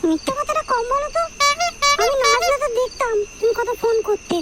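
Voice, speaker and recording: A woman's voice: extremely high pitch, fairly quick, loud music behind the voice. A woman voicing a young married Bengali sister-in-law in a comedy cartoon, bossy, foul-mouthed and given to nagging and pleading. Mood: sulky.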